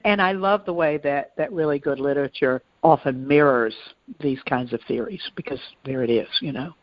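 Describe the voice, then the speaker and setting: A woman co-hosting a podcast in a reflective, conversational way, with earnest, halting and deliberate phrasing. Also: extremely low pitch, lo-fi sound. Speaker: podcast co-host.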